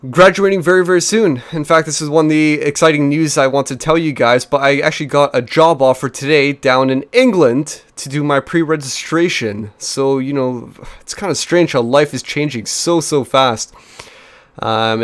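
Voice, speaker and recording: A man in his twenties from New Zealand, chatty and energetic, casting a strategy game replay with frequent fillers and restarts at a desk microphone. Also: medium pitch, smooth. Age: 20s. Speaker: game caster. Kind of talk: replay commentary.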